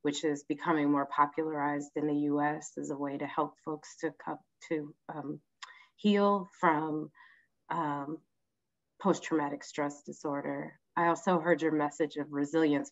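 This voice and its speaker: Lower-pitched woman moderator, slightly dull audio. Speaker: host, moderator. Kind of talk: event hosting, introductions. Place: online video conference. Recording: dull video-call audio.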